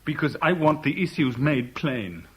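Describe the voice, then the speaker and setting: A man's voice: fairly high pitch, lively intonation, measured pace, husky. An actor playing a bitter, lovelorn man in a 1970s radio drama, sardonic and clipped. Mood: bitter, insistent. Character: bitter, lovelorn man caught in a love rivalry. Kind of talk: 1970s British radio drama acting with narration. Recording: noisy old radio broadcast recording.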